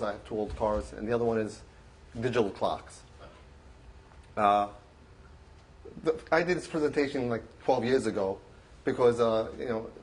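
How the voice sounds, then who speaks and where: A man's voice: medium pitch, slight background noise. A man giving an informal show-and-tell about his hobby at a club meeting, speaking into a handheld mic, full of fillers and restarts. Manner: halting, many restarts and fillers. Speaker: club member presenting his collection. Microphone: handheld microphone.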